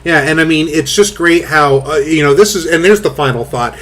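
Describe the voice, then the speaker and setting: Rambling, blunt man with a booming voice. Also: a little fast, a bit bright. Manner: rambling, filler-heavy, blunt and crude. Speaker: host. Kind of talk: casual commentary chat.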